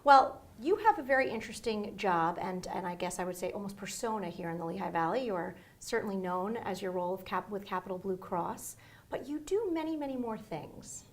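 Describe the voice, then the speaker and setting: A woman's voice: mid-range voice, expressive, fairly quick. A woman hosting a podcast interview, chatty and enthusiastic as she draws out her guest. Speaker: podcast host. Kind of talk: conversational interview. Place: local area in the Lehigh Valley, Pennsylvania.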